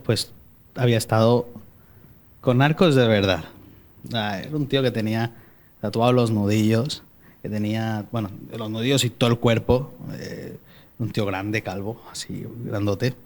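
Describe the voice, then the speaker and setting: A young man speaks with a Málaga accent tinged with Mexican. He tells his personal faith story as a podcast guest in an easy conversational way, often pausing and restarting his sentences. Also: fairly low pitch. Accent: Málaga Spanish with Mexican traces. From born in Mexico, raised in Spain. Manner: chatty, storytelling, frequent hesitations and restarts.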